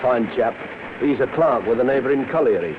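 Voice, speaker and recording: Male actor as proud wages clerk, chummy, lively swooping delivery, higher voice, tinny noisy old radio drama. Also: brisk, lightly acted. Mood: genial, proud. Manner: chummy, self-important. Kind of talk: 1950s radio drama acting.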